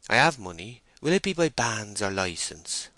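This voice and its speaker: A man's voice: lowish voice, big pitch swings, normal speed, bright, forceful tone, dry recording. A man voicing a timid, lovesick young woman in a storybook reading, tremulous, hesitant and pleading. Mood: shy pleading.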